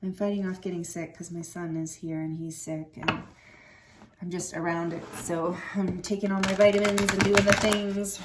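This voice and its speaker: A woman in her thirties gives a casual tarot reading in a lowish voice. Her throat sounds a little rough, and she meanders with fillers and self-corrections. A faint hiss sits under the recording. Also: level tone, normal speed. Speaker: tarot reader. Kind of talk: casual spiritual card reading.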